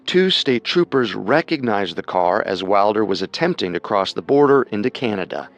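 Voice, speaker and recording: A man co-hosting and narrating a scripted true-crime show in a measured, dramatic storytelling manner. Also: on the low side, lively intonation. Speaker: podcast co-host and narrator.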